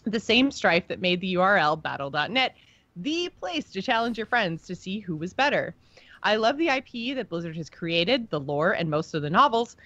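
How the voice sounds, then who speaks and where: A close, dry and slightly lo-fi recording of a woman co-hosting a podcast. She has a mid-pitched voice and talks informally, with a fan's enthusiasm, about games. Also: big pitch swings, moderate pace, a bit bright, no echo. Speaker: podcast co-host. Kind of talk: casual chat about video game lore, reading listener email.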